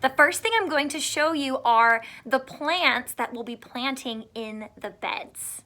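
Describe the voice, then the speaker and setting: A woman's voice: high voice, unhurried, bright, forceful tone. A woman teacher giving children an upbeat read-aloud and gardening demonstration in simple terms.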